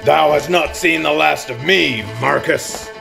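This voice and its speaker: A man playing a grand theatrical pig-snouted troupe leader, declaiming in a gravelly voice for an audiobook drama. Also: fairly high pitch, over music. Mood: menacing, blustering. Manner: grandiose, slow stage declamation.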